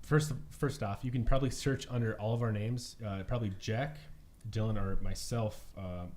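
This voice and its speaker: A man's voice: fairly low pitch, fairly even intonation, normal speed, darkish tone, a little hiss or hum. A man co-hosting a podcast in loose, casual chat. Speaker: podcast co-host.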